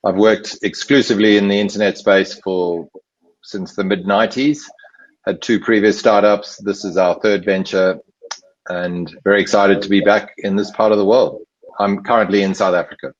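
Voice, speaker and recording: Low-voiced man from Zimbabwe. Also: fairly even intonation, moderate pace. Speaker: panelist. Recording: dull sound.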